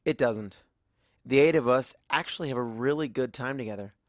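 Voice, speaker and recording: A man narrating a fanfiction story in the first person, in a measured, storytelling way. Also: mid-range voice, no echo, clean studio sound. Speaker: audiobook reader.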